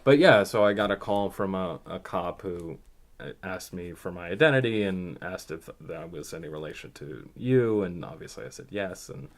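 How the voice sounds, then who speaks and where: A man speaking as a podcast guest, telling personal stories casually and thoughtfully, often pausing mid-sentence. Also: low voice, expressive. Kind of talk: casual, reflective personal storytelling.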